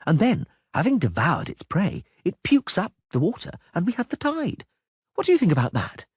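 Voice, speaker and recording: A man doing an excitable chatty bard. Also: high voice, slightly rough, no echo, clean studio sound, voice pushed up, heavily acted. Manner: lively, quick and mocking.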